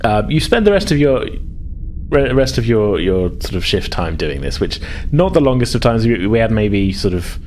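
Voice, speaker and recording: A man with a notably low voice runs a tabletop roleplaying game. He narrates casually, often pausing to think aloud. There is some noise behind him. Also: big pitch swings, darkish tone. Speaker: game master. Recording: clearly noisy background.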